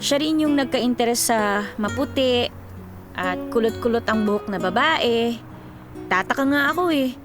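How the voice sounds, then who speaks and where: Actress as young woman in her twenties, Filipino accent, highish voice, teasing best friend, secretly lovelorn, radio drama, music underneath. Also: lively intonation, a little slow. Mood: wistful, confessing. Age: 20s. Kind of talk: Tagalog radio drama acting. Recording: music behind the dialogue.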